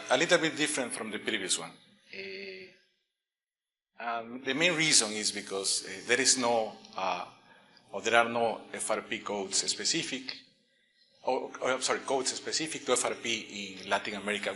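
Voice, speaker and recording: A man's voice: mid-range voice, sharp tone. A middle-aged man from Peru giving a conference presentation on engineering, speaking with frequent fillers and restarts. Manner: halting, many fillers and restarts; light joking aside. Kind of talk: conference talk.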